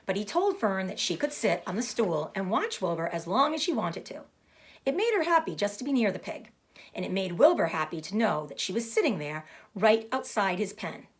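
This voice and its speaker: A woman reading a children's book aloud as a storyteller, with deliberate phrasing meant for young listeners. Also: medium pitch. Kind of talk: expressive children's book narration.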